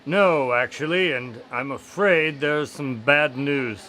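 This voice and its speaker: An actor playing a polite, questioning doctor who is a detective's skeptical sidekick in an audio drama. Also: male voice, mid-range voice, takes it slowly, sharp tone. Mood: polite, sober. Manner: courteous, questioning.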